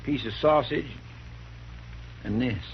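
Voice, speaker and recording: An actor playing an eager, folksy deputy sidekick in a 1950s radio drama. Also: male voice, medium pitch, takes it slowly, a little hiss or hum. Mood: obliging. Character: helpful, eager deputy sidekick, young man. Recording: dull lo-fi 1950s radio broadcast.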